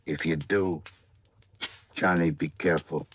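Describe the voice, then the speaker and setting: An actor playing a gravely wounded small-time crook in a 1950s radio drama, speaking weakly and haltingly, loyal and wary. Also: male voice, very deep voice, steady tone, fairly bright tone. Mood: weak, earnest. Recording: noisy old radio recording with clicks.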